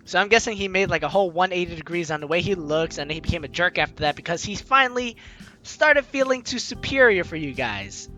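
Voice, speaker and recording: A woman's voice: on the low side, a little fast. A woman hosting a casual let's play, reading game text aloud and reacting chattily. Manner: animated, chatty reactions to the story. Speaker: gaming channel host. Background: game music.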